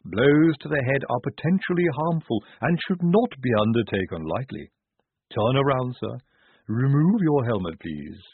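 A man's voice: medium pitch, expressive, moderate pace, breathy edge, dry recording, pitched above the performer's own voice. Man playing a prim, precise woman medic, tinny audio. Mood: clinical, polite. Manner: precise, formal, polite. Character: prim, clinical woman medic, courteous and calm. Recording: narrow tinny phone-like audio.

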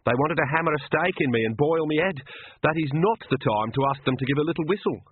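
A man's voice: on the high side, expressive, fast talker, a little hiss or hum, voice pushed up, lightly acted. A man voicing a blunt, sensible young woman witch who sounds exasperated. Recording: tinny phone-like dry sound.

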